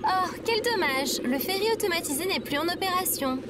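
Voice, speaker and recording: High-pitched, sarcastic AI companion acted by a woman. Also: fairly quick. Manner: formal, deadpan sarcasm, fussy. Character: pedantic, sarcastic female AI companion. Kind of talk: comedic French audio fiction acting. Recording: very noisy background of ambient effects.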